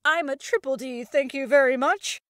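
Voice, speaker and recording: A woman playing a brash, sarcastic young wife in comic audio fiction, loud, indignant and always arguing back. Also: high voice, normal speed, no echo, studio quality, pitched above the performer's own voice, lightly acted. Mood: defiant sarcasm.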